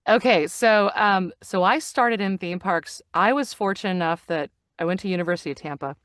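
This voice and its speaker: A woman's voice: mid-range voice, fairly quick, a bit bright, dry recording, clean studio sound. A woman guest in an interview, agreeing readily and answering at easy length. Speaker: guest, actor and narrator.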